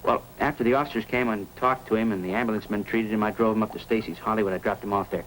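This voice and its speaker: A man's voice: fairly low pitch, steady tone, quick pace, a bit bright, close to an everyday voice, rough recording. A man playing a friendly, talkative fish hobbyist and eager witness in a 1950s radio drama. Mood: chatty, earnest. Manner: talkative, folksy, hesitant asides. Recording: old radio recording with background noise.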